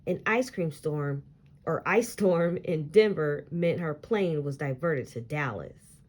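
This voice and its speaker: A woman reading a children's story aloud in an expressive, deliberate storytelling style. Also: sits low. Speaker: picture book read-aloud reader.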